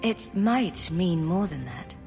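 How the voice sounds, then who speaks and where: Earnest fantasy heroine, echoey. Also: female voice, on the low side, animated, takes it slowly, darkish tone. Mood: gentle, curious. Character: caring, steady female mage champion, fantasy game heroine. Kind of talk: dramatic video game dialogue. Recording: reverberant sound with faint music.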